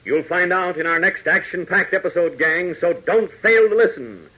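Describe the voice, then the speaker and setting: A man's voice: high voice, normal speed, bright, forceful tone, rough recording. An American man narrating a 1940s radio adventure serial in a dramatic, emphatic announcer style.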